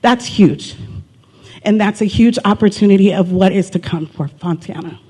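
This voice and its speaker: An upbeat speaker at a conference delivers a city pitch with a mid-range voice. The talk leans on rhetorical questions and quick repeated figures. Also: darkish tone, slightly rough. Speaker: city presenter.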